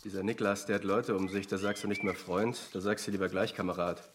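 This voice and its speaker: A man's voice: on the low side, steady tone, fairly quick, a little hiss or hum, natural voice. An actor playing a blunt, resentful teenage boy in a radio drama, talking in youth slang. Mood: contemptuous.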